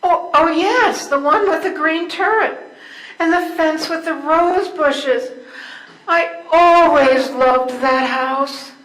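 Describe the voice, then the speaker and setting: A high, slow voice in an echoey room. An older woman acts a devoted, long-suffering wife in an amateur stage play, reading with care and gentle firmness. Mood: delighted. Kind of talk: readers theater stage play.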